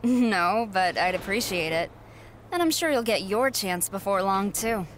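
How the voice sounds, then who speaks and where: A woman's voice: medium pitch, lively intonation, a little slow, fairly bright tone, a little hiss or hum. A young woman voicing a confident, cocky wrestler in a video game cutscene. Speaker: player character wrestler.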